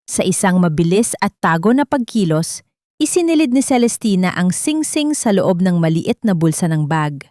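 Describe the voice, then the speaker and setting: A woman's voice: lowish voice, very animated, moderate pace, dark tone, no echo, studio quality. A woman with a Filipino accent reading a scripted story as a dramatic audiobook narrator.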